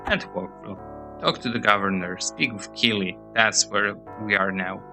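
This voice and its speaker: Slow, gravelly man with a hoarse voice. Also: fairly low pitch, music in the background. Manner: rambling, reads game text aloud. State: hoarse, losing voice. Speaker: let's play host. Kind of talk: casual gameplay commentary.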